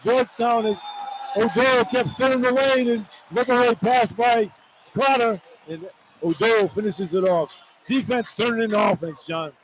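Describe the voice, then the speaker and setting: A man's voice: very high voice, moderate pace, low-quality recording. A man giving informal, colloquial color commentary on a live basketball radio broadcast from an arena. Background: clear arena noise.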